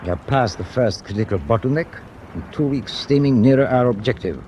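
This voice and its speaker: Wartime German ship's captain played by a man, wary and authoritative, animated mid-range voice, radio drama. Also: mid-range voice, a little fast, slight background noise, lightly acted. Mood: measured, watchful. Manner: authoritative, clipped orders. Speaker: actor.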